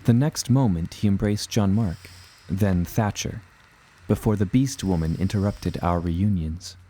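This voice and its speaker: A man's voice: deep voice, a little slow, warm tone. An actor playing an earnest young male adventurer, narrating his journey in solemn, old-fashioned storytelling. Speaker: first-person narrator. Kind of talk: scripted dramatic storytelling with old-fashioned diction.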